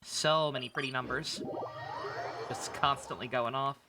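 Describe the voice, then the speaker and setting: A man's voice: medium pitch, fairly even intonation, measured pace, noisy background. A man giving casual, joking, rambling live commentary as a game streamer. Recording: clear game music behind the voice.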